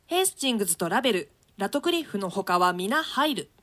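A woman's voice: medium pitch, big pitch swings, fairly quick, fairly bright tone. A woman narrating the stage directions of a play in a scripted, dramatic reading style.

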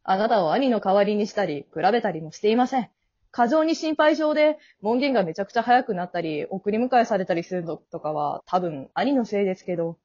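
A woman's voice: mid-range voice, animated, fairly quick, a little mellow, highs cut off, close to an everyday voice. A woman acting in an amateur radio drama as a polite, kindly male guide of the afterlife, speaking in an earnest, businesslike, reassuring way. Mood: calm, explanatory.

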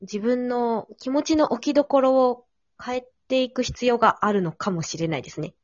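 A woman's voice: on the high side, normal speed, no echo. A woman hosting a casual conversation, offering advice in polite, tentative, reflective phrasing. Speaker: podcast host. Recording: slightly dull lo-fi audio.

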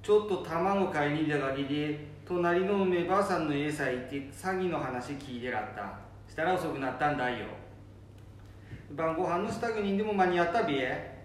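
A man's voice: a little high, animated, normal speed, lightly acted. Far from the mic in an echoey room, a man plays a chatty old grandfather. He recounts things in Nambu dialect, as in a homemade radio play. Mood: chatty, recounting. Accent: Nambu dialect of northern Japan. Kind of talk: amateur radio drama acting. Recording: distant echoey room with faint noise.

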